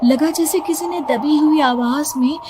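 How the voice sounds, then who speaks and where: A woman's voice: high-pitched, a little slow, noisy background. A woman narrating a scripted horror story in the first person, dramatic, suspenseful and emotive. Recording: music behind the voice. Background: music.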